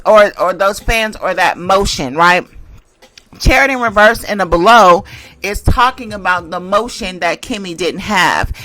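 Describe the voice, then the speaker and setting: Woman doing a chatty tarot reading, real bright punchy voice, repeats stuff for emphasis. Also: medium pitch, no echo. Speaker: tarot reader and channel host. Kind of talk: casual tarot reading and commentary.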